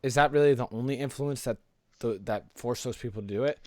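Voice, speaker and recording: A man's voice: mid-range voice, fast, a little mellow, dry recording, studio quality. A man co-hosting a podcast in casual, informal conversation, often checking in with rhetorical questions. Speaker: podcast co-host.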